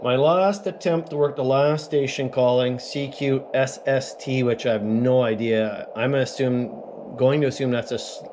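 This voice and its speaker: Canadian man narrating a ham radio contact. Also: mid-range voice. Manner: relaxed, thinking aloud with fillers. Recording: loud radio noise and sidetone behind.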